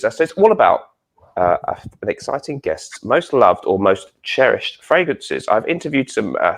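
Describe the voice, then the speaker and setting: A man hosting a chatty online interview, speaking in a polite, complimentary and somewhat hesitant way. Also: medium pitch. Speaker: host of a fragrance interview show.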